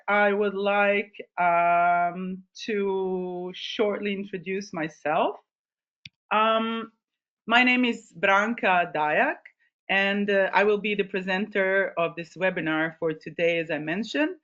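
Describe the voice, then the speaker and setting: Woman, 30s, from Croatia, expedition leader; halting, filler-heavy webinar delivery, lo-fi call sound. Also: medium pitch, fairly bright tone. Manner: hesitant, many ums and restarts. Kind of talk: informal presentation. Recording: slightly dull, lo-fi webinar audio.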